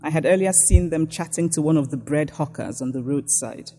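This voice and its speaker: A woman from Nigeria with a Nigerian accent, reading a prepared lecture in a measured, reflective way. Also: deep voice, dark tone, long reverb tail.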